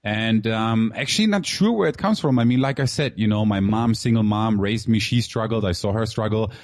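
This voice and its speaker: A man in his thirties who grew up in Germany, talking through his life story, candid and loose, full of "you know" and "man." His voice sits low, and the sound is close and dry with no room around it. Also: moderate pace, a little mellow, no room sound. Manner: candid, filler-heavy, calls host "man". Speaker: guest entrepreneur. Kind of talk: casual interview storytelling.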